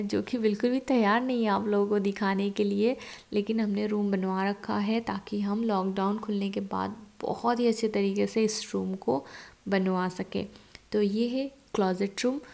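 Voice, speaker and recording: A young woman in her twenties narrates a casual tour of her empty new house. Her voice has a noticeable gravelly fry at a middling pitch. She talks into a handheld phone as she moves through bare, echoing rooms. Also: darkish tone. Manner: chatty, explaining as she walks through rooms. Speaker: vlogger. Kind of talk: casual home tour vlog. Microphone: phone camera, at arm's length then handheld while walking.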